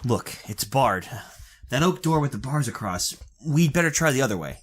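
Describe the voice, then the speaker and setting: An actor playing an anxious, protective hero in an old-time radio thriller, earnest and hesitant. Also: male voice, mid-range voice, animated, normal speed, fairly bright tone, breathy edge. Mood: wary. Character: anxious, protective young male hero trapped with his sweetheart. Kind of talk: 1930s-style radio thriller acting.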